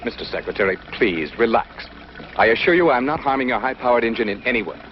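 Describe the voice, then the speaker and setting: A man acts out a mysterious American inventor in a vintage television drama, courteous and calmly insistent. He talks quickly and precisely with animated intonation, on a dull old soundtrack. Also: mid-range voice, slight background noise, lightly acted. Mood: calmly confident. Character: mysterious, polite, self-assured eccentric male inventor. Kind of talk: 1950s television drama acting.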